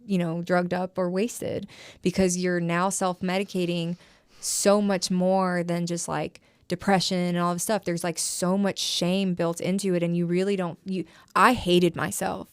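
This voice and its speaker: A woman's voice: lowish voice, little pitch movement, measured pace, clear, resonant tone, dry recording, clean studio sound. A woman in her thirties telling her story frankly as a podcast guest, rambling with lots of "like". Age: 30s. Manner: frank, rambling, heavy use of filler "like". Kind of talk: candid personal storytelling.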